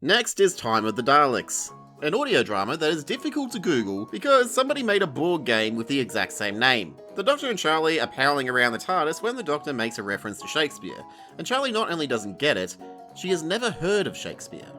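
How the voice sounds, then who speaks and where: A man's voice: a little high, huge pitch swings, fairly quick, bright, forceful tone, faint music behind. A man giving a casual, scripted review in a wry, conversational way. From Australia. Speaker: reviewer, host.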